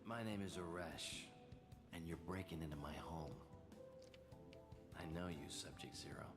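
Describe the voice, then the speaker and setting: An actor as a haunted man in a dramatic video game, very low in pitch. He is intense and fixated on the past, with music clearly playing behind him. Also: moderate pace, lightly acted. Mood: intense, accusing. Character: haunted, obsessed adult male survivor of cruel experiments.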